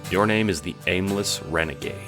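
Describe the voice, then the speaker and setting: A man's voice: low voice, normal speed, over music. A man narrating a scripted audio drama story as its narrator and voice actor.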